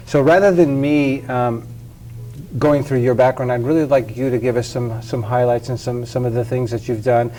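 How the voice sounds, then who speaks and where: A man's voice: mid-range voice, steady tone, moderate pace, warm tone, faint music behind, rough recording. A man hosting an interview, asking friendly, rambling questions full of fillers.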